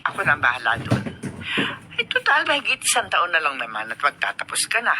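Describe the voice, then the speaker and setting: A woman's voice: low-pitched, huge pitch swings, brisk, bright, forceful tone, slightly rough, full character voice. A woman playing a middle-aged, generous aunt in a radio drama, speaking reassuringly. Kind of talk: Filipino radio drama acting.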